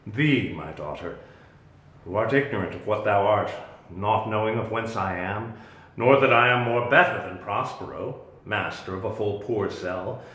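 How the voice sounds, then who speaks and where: An actor playing a protective, commanding exiled magician duke and father, in solemn, deliberate Shakespearean verse. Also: fairly low pitch, fairly bright tone. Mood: reassuring, solemn. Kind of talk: Shakespearean dramatic reading. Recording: roomy, echoing sound.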